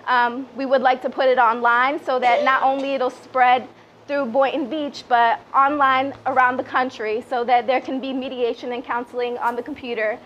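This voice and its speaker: A young woman with a fairly high voice gives an earnest speech at a small outdoor gathering. She holds a microphone and speaks a little hesitantly. The sound is somewhat dull, with faint background noise. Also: flat delivery, moderate pace, a bit bright. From born in Peru, grew up in the Washington DC area. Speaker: public relations director giving a speech. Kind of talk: personal testimonial speech at a small event. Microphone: handheld microphone, lapel mic also worn. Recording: muffled, noisy live PA recording.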